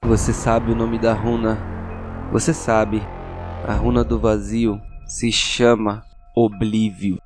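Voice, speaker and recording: A man's voice: lowish voice, flat delivery, slow pace, over music. A man with a Brazilian accent, narrating a tabletop role-playing audio drama as game master.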